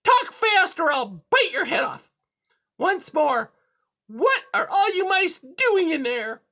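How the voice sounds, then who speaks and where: Villainous female cat, very high-pitched, loud, snarling, bullying, interrogating, children's storybook. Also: big pitch swings, slow, heavily acted. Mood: sneering, threatening.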